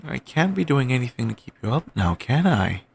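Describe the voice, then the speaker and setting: A young man voicing an obsessive, doting boyfriend in an ASMR audio roleplay, murmuring soft, soothing, controlling reassurances. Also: sing-song, normal speed, vocal fry, husky, dry recording, exaggerated voice. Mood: tender. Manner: coaxing, endlessly affectionate, quietly controlling. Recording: home mic, low-quality.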